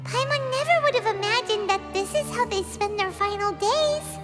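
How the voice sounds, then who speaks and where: A woman voicing a tiny, chatty fairy companion in a video game, with a squeaky childlike voice. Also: moderate pace, loud music behind the voice. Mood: subdued, wistful. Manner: exclamatory, refers to herself by name.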